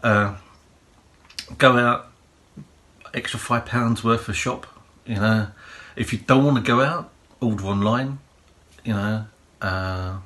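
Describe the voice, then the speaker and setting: A man with a croaky voice, hosting a prepping channel and giving rambling practical advice full of fillers and "you know"s. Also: on the low side, slow pace, sharp tone. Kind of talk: casual advice monologue.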